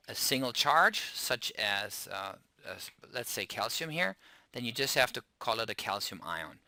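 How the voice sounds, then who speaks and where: A man with a German accent lectures on chemistry in a lowish, intensely bright and forceful voice. He explains step by step, often restarting phrases and spelling out endings letter by letter. The sound is dry and close, with no room echo. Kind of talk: classroom lecture.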